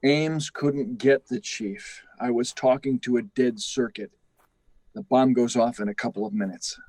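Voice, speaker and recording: A man's voice: mid-range voice, measured pace, a little mellow, no echo, poor audio. A man acting an earnest, authoritative official in a 1950s-style radio drama, speaking with urgent emphasis. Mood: grim, subdued. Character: earnest, authoritative male official handling a crisis.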